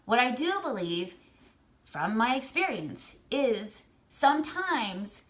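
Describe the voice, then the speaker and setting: An American woman with a somewhat high voice speaks as a vlogger giving personal advice. She is emphatic and blunt, and she repeats phrases to drive each point home in a motivational style. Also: sing-song, takes it slowly, fairly bright tone. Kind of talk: motivational advice talk to camera.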